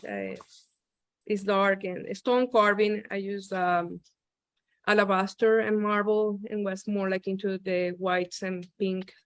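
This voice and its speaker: A woman's voice: medium pitch, little pitch movement, slow, dry recording. Venezuelan woman on a video call, hesitant. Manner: hesitant, many "you know" and "um" fillers. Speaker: visiting artist. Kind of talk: casual chat on a video call.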